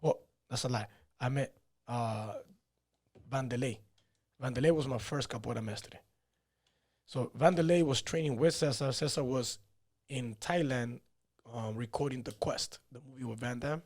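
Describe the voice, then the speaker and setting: A man's voice: medium pitch, normal speed, darkish tone. A man in his thirties, born in Peru, telling his life story in a loose, rambling way on a podcast, dropping "you know" and "man" often. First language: Spanish. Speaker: podcast guest, fighter. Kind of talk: casual storytelling interview.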